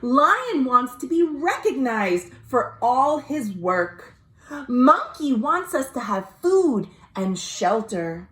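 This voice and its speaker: A woman voicing an earnest, patient sloth who makes slow, drawn-out campaign speeches in a children's storybook. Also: fairly high pitch, reverberant. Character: earnest, patient sloth running for jungle president.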